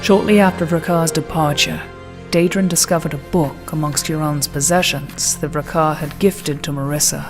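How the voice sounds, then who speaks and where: Fantasy story narrator, mid-range, formal and solemn, reading scripted lore over clear music. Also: mid-range voice, fairly even intonation, normal speed.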